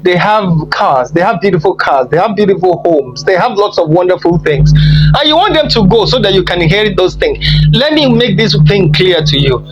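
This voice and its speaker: A woman's voice: on the low side, sing-song, normal speed, highs cut off, loud music behind the voice. A woman from Nigeria with a Nigerian accent, ranting sarcastically and teasingly on a social media livestream. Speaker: livestream participant. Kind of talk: mocking rant on a social media live.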